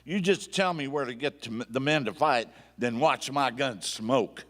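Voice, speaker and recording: A man's voice: mid-range voice, normal speed, a bit bright, lightly acted. A man playing a hot-tempered, folksy sheriff with an American cowboy drawl in a live radio play. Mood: indignant. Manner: drawling cowboy slang, exclamatory. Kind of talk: Western radio play acting. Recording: live stage hall, long reverb.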